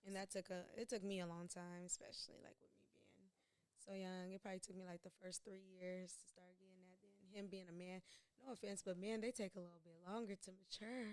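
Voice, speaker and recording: A woman's voice: medium pitch, level tone, brisk, no room sound. A woman guesting on a podcast, telling candid stories about her relationship with laughter and playful slang. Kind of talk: casual chat.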